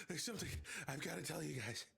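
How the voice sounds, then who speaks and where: A man's voice: on the high side, brisk, gravelly, pushed and bright, husky, exaggerated voice. A man playing a naive, earnest grown man raised as an elf, hesitant and childlike, in a live table read. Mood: eager, awkward.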